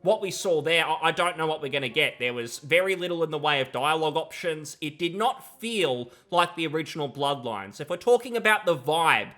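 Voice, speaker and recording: Young guy ranting about games, highish bright voice, emphatic and disapproving, close mic. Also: lively intonation, brisk, few pauses. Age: young adult. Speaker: gaming commentator. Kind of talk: critical opinion commentary. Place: small room at home. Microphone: close desk microphone, headset on.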